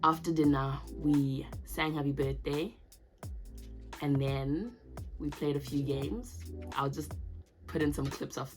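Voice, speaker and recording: A woman's voice: low-pitched, steady tone, takes it slowly, long pauses, over music. A woman in her twenties chatting casually to camera in her vlog, laughing often. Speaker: vlogger.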